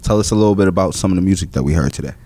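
A man's voice: low voice, very fast, husky. A man hosting a music podcast, asking easygoing questions and often repeating short affirmations. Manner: relaxed, repeats "cool, cool, cool". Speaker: podcast host. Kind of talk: casual interview.

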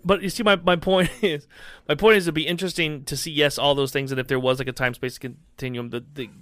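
A man in his forties co-hosting a podcast in a rambling, animated way, often laughing mid-sentence. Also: a little high, fast, dry recording. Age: 40s. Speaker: podcast co-host. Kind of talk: casual chat.

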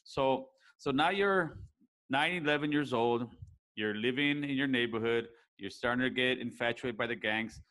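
Easygoing male interviewer with halting questions. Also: mid-range voice, pushed and bright, low-quality recording. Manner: frequent short affirmations, halting questions. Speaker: podcast interviewer. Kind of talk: conversational interview.

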